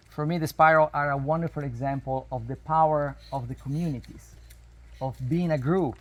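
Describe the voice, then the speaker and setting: A man who is not a native English speaker gives an informal explanatory talk to a group in a halting, emphatic way, with non-native phrasing. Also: fairly high pitch. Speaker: spiritual workshop leader. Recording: noisy outdoor recording, natural ambience.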